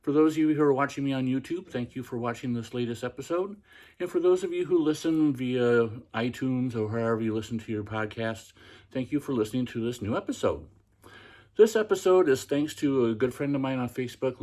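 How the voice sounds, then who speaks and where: A man hosting a podcast, talking in a practiced feminine voice that is quiet and soft, with the pitch lifted by tightening his throat. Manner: pitch raised by tensing the throat. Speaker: podcast host. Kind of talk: casual instructional monologue.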